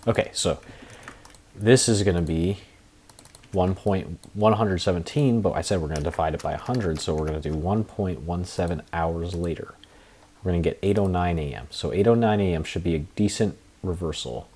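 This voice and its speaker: A young man who is sick and a bit hoarse, rambling through a screen-share lesson with many fillers and restarts. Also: low voice, moderate pace, warm tone. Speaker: trading tutorial presenter. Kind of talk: casual screen-share tutorial.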